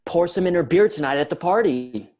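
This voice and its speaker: A man's voice: fairly high pitch, animated, a little fast, darkish tone. A man reading a blunt, matter-of-fact teenage boy. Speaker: actor in a table reading.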